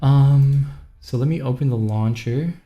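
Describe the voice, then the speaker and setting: Guy from montreal live streaming, scattered chatty vibe, soft music faint underneath. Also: mid-range voice, measured pace, dull audio. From Montreal, Canada. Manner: rambling, scattered, reads chat aloud. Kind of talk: casual live-stream chat with viewers.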